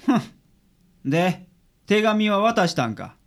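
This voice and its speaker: An actor playing a gruff, lazy but secretly kind male private detective with a blunt Kansai accent in a radio drama. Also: mid-range voice, big pitch swings, slow pace. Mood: gruff, assured.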